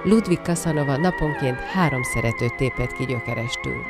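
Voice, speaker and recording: An actress playing a grandiose chronicler of legendary lovers, declaiming bombastically in a theatrical drama. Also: female voice, medium pitch, wildly swooping pitch. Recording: loud music under the voice.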